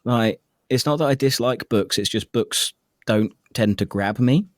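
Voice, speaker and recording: A man's voice: mid-range voice, unhurried, dry recording, studio quality. A man who narrates audiobooks, chatting casually as an interview guest in a self-deprecating, jokey and sometimes sweary way.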